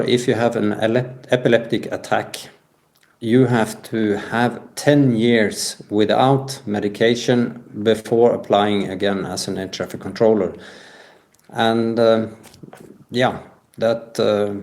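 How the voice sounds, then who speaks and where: A man with a lowish voice tells a personal account in an interview. He speaks very slowly and haltingly, searching for his phrasing as a guest would in conversation. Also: fairly even intonation, darkish tone. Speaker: podcast guest.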